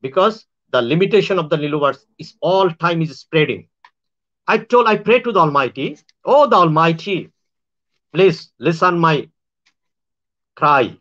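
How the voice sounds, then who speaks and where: Man, Bangladeshi accent, high-pitched voice, halting and repetitive, muffled lo-fi audio. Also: expressive, a bit bright. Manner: halting, repetitive phrasing with frequent restarts. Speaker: astrologer and self-styled spiritual speaker. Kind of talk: monologue to viewers.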